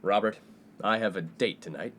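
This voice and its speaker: A man's voice: lowish voice, normal speed, fairly bright tone. A man playing a brash, self-absorbed college playboy in a comedic radio play, breezy and self-important. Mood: glib. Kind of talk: comedic 1950s-set radio play acting. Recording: faint background noise.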